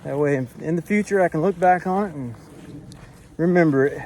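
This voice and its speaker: A man from Florida, vlogging casually while hiking, out of breath and a little hoarse, recorded on a handheld camera outdoors. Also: on the high side, a little fast, a little mellow, a little hiss or hum. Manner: breathing hard, winded while hiking uphill. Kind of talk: casual vlog narration to camera. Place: outdoors on a forest hiking trail.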